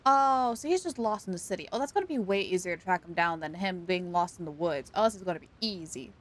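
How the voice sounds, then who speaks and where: A woman's voice: fairly low pitch, lively intonation, quick pace. A young woman livestreaming a video game, chatting casually in a playful, giggly, exclamatory way. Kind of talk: casual gaming stream commentary and chat.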